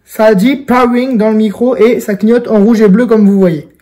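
A man's voice: high voice, fairly even intonation, normal speed, mellow. A young man giving a casual product tutorial, informal and talking straight to the viewers. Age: young adult. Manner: informal, addressing viewers directly. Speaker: video creator and presenter. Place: indoors in a home room.

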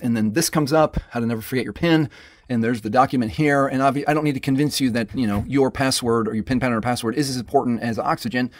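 A man's voice: medium pitch, no echo. Patient man walking through phone steps. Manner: friendly, patient, instructive. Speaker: tech tutorial host. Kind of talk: step-by-step phone tutorial.

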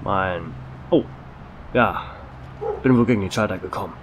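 An actor playing a gruff, rattled small-town sheriff in a German horror radio play, commanding and blunt. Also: male voice, sits low, moderate pace, slight background noise. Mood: weary, incredulous. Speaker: actor in an audio drama.

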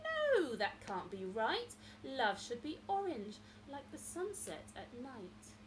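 A woman's voice: sits high, huge pitch swings, slow, pitched above the performer's own voice, exaggerated voice. A woman voicing a screechy, opinionated, indignant flamingo in a storybook, in a noisy room recording.

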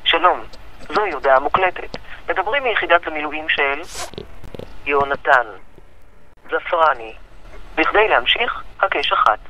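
A man's voice: on the high side, animated, pauses often. A man reading a scripted recorded announcement as an automated phone notice. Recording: muffled, very noisy answering machine tape.

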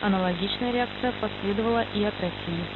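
A woman's voice: medium pitch, fast talker. A woman presenting the news, reading from a script on shortwave radio. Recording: phone-like shortwave radio, loud hiss.

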